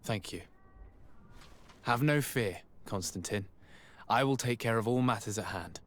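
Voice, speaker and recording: A man's voice: lowish voice, a little slow, fairly bright tone. A man playing a dutiful, composed young noble envoy in a video game, speaking formally and politely. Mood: dutiful, calm. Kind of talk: video game cutscene acting.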